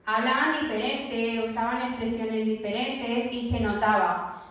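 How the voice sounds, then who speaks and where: A woman with a high voice preaches to her congregation, telling the story vividly and acting out the lines she quotes. She is heard from a distance in a very echoey church hall. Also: fairly even intonation, a little slow, a bit bright. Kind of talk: sermon.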